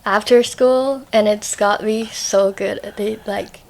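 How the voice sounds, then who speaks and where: A young woman from Hong Kong, a podcast guest, speaking softly in simple phrasing in a casual interview. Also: medium pitch, fairly even intonation, takes it slowly, vocal fry, heavy background noise.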